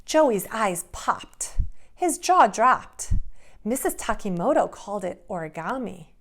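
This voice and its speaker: A woman reading aloud and giving simple instructions to young children in an expressive way, as a preschool teacher. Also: medium pitch, slow.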